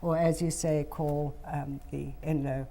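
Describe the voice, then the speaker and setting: A woman's voice: low voice, little pitch movement, unhurried, creaky, dark tone, slight background noise. An older woman from South Africa with a South African accent, a hospital volunteer chatting as a guest with some fillers and hesitations. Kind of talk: casual talk show interview.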